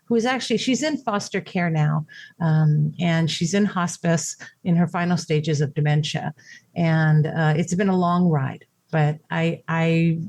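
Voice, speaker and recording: A middle-aged woman whose first language was Japanese speaks in a slightly low voice. She tells her story reflectively, stopping and restarting often, and laughs easily. The recording is dry and close. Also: dry recording. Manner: reflective, warm storytelling with frequent fillers and restarts. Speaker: podcast guest, caregiver. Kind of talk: conversational interview.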